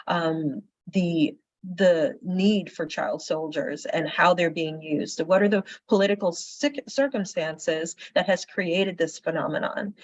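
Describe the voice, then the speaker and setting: A woman from the Caribbean moderating a forum, asking questions conversationally with many fillers. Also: lowish voice. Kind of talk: interview questions. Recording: dry, slightly dull recording.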